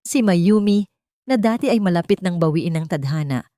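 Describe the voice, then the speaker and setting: A woman giving dramatic scripted narration of a sentimental story, with solemn, deliberate phrasing. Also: fairly low pitch, animated, dark tone, dry recording, studio quality. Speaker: audiobook narrator.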